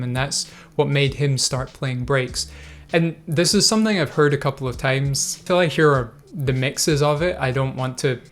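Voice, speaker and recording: A man's voice: medium pitch, a bit bright. A man hosting his own video, talking through viewer comments in a thoughtful, hesitant way with many fillers and restarts. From Scotland. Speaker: video host. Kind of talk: casual reflective commentary.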